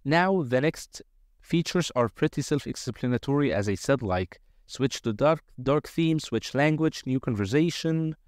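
Male tutorial presenter, mid-pitched, semi-improvised step-by-step walkthrough, close and dry voice-over. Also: lively intonation, a little slow, no echo.

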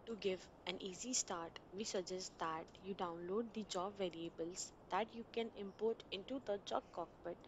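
Low-voiced woman narrating a software tutorial. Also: slight background noise, poor audio. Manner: steady instructional reading with small grammar slips. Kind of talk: read screen-recording walkthrough. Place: voice-over for a screen recording.

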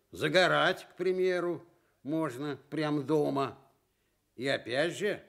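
A man performs a big, self-satisfied male bear from a children's radio tale. The voice is gravelly and swings widely in pitch. He takes his time, pompous and stubborn about his own opinions. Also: heavily acted. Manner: self-important, smug, unhurried. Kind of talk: fairy-tale radio reading in character.

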